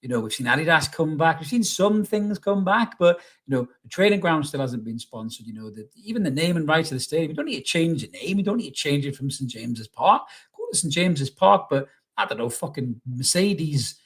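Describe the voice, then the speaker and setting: Fast-talking, husky man chatting about football. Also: on the high side, lively intonation, fairly bright tone. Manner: chatty, rambling, rhetorical questions, blunt swearing. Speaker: YouTube football channel host. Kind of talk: casual opinionated fan commentary to camera.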